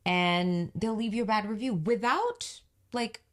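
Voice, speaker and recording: A woman with a deep voice, co-hosting a podcast and telling a story in casual chat while acting out the quoted dialogue. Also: measured pace. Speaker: podcast co-host, business owner.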